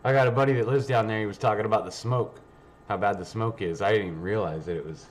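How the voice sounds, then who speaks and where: A man's voice: fairly low pitch, fast talker. A man hosting a livestream, rambling casually and reading news aloud in a blunt, sweary, offhand way. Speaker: livestream host.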